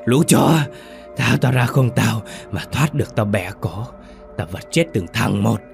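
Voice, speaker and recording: A man voicing a possessed older village woman with a gruff, guttural growl, cursing and threatening in a horror story. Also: low voice, moderate pace, faint music behind, lightly acted. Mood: furious cursing.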